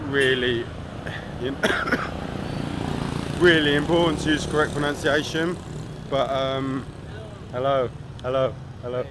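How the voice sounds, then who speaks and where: A man rambles through a casual travel vlog, tossing in asides and swear words in an ordinary mid-range voice. He talks outdoors on a city street, with clear traffic noise running behind him. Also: mid-range voice. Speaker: travel vlogger.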